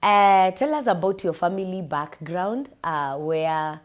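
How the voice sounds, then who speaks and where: A woman's voice: lowish voice, measured pace. A Kenyan woman hosting a talk show, chatting with a guest and repeating words as she goes, mixing in some Swahili. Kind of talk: casual interview chat.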